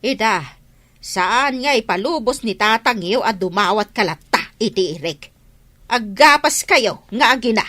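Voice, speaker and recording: A woman's voice: on the high side, lively intonation, a little slow, a bit bright. A woman playing a stern, unyielding older mother in a radio drama, with an Ilocano Filipino accent. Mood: scolding.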